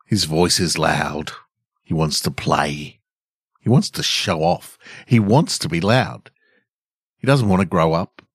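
A middle-aged man hosting a podcast and reading stories in a measured way. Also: deep voice, slightly rough, dry recording, studio quality. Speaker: podcast host and story reader. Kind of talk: scripted story narration and casual podcast outro.

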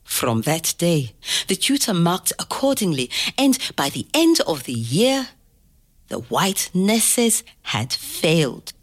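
An older woman telling a family story to a child, slow and expressive, pausing to ask gentle questions. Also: on the low side. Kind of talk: oral history storytelling to a child.

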